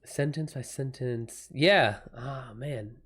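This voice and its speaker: Man, medium pitch, casual livestream host, halting, thinking aloud. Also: a bit bright. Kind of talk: casual livestream chat with viewers.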